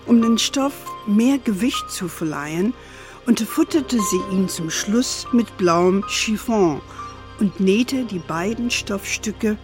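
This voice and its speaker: An actress playing a gentle, playful grandmother who tells family history in a measured storybook manner for an audio drama. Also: medium pitch, expressive. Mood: measured, wistful. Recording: music under the voice.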